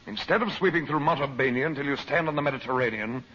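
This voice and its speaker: An actor playing a calm, determined hero facing down a villain, in old-time radio drama style. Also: male voice, medium pitch, fast talker, breathy edge. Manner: steady, quietly firm. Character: calm, resolute adult male hero confronting a villain. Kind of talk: 1940s radio acting. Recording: dull old radio broadcast with hiss.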